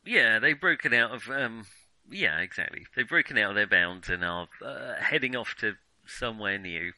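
A man with a very bright, sharp voice chats casually about film as a host. He feels his way through opinions, hesitating and qualifying them. The sound is close and dry. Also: lowish voice, expressive, normal speed, no room sound, poor audio.

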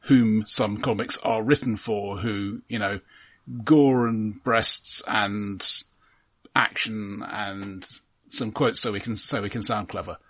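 Male co-host, low husky voice, dry measured delivery, casual critical chat. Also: fairly even intonation, a bit bright. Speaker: film podcast co-host. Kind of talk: casual film review chat.

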